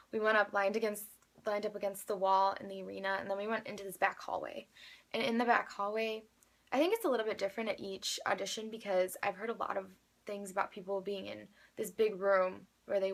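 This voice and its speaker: A woman's voice: mid-range voice, gravelly. A woman vlogger telling a personal story in a casual, chatty, rambling conversational way.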